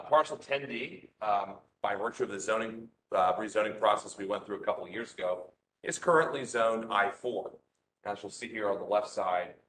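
A man, a land use attorney, walking a community meeting through slides, loud-voiced and casual, with many fillers and restarts. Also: fairly low pitch. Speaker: land use attorney presenting a rezoning case. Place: community meeting room, standing to speak. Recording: echoey meeting room, faint noise.

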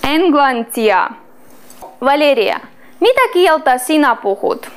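A woman in her thirties teaching a language class in a small classroom, slowly and clearly drawing out foreign words. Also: high-pitched, very animated. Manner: slow, clearly drawn-out pronunciation of foreign words.

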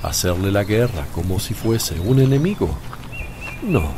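An actor playing a calm, wise male teacher with gentle authority in a solemn religious radio play, speaking in a measured way. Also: lowish voice, background noise. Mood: calm, reassuring. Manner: measured, deliberate. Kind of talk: slow, solemn radio drama acting.

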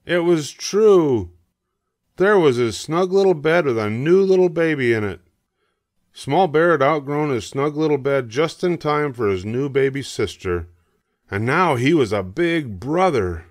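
An older man narrating a children's storybook, reading aloud in a measured, expressive way. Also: fairly high pitch, no echo, clean studio sound.

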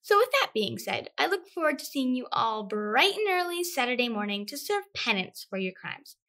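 A woman's voice: fairly high pitch, very animated, moderate pace, sharp tone, heavily acted. A woman performing a comedic stage monologue as an indignant, self-righteous young woman lecturing a class, pompous and melodramatic. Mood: smugly self-righteous.

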